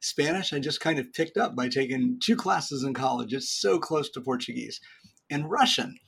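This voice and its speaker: A man as a guest on a business podcast, talking in an animated, joking way. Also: mid-range voice, normal speed, creaky, slightly rough. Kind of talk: interview podcast.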